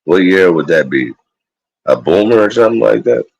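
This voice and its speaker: A man's voice: low-pitched, animated, moderate pace, vocal fry, slightly rough. A man, chatting loosely and profanely as a guest on a live stream. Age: 40s. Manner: loose, profane street talk. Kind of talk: casual chat.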